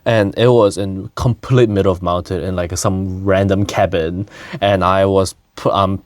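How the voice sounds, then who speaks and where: Guy from korea chatting casually, lots of laughs and "like"s, lowish voice, very dry. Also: steady tone, measured pace, no room sound. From South Korea. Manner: laughs often, many fillers and restarts. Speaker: podcast host. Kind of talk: casual conversation.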